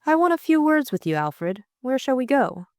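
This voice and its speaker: A woman plays a young aristocratic lady in an audiobook. She is brisk and autocratic, putting things crisply and directly. The sound is close and dry. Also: high-pitched, sing-song, a little mellow, no echo, voice pushed up. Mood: brisk, demanding. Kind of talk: audiobook character voice.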